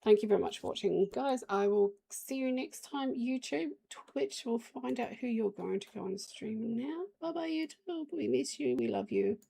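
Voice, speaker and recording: A woman streams games while she has the flu, and her voice comes out hoarse and heavily gravelly. Her tone is mellow and dark. She rambles in a casual way, talking straight to the viewers in her chat. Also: fairly high pitch, very animated, moderate pace, few pauses. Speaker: game live streamer.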